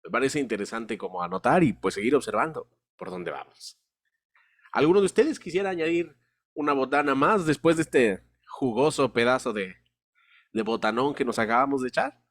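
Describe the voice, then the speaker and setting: A man's voice: medium pitch, normal speed. Mexican man moderating a panel. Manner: reflective, steers the conversation. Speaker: podcast moderator. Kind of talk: casual panel discussion.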